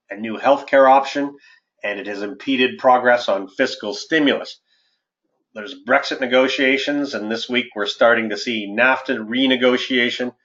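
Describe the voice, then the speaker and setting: A man, an investment adviser hosting a webinar and presenting market commentary in a formal, measured way. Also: mid-range voice, a bit bright. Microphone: conference call line. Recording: dull, lo-fi conference-call audio.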